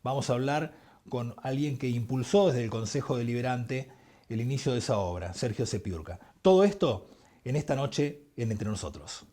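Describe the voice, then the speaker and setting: A man with an Argentine accent hosting a television interview show, asking quick, probing follow-up questions. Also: medium pitch, animated, warm tone.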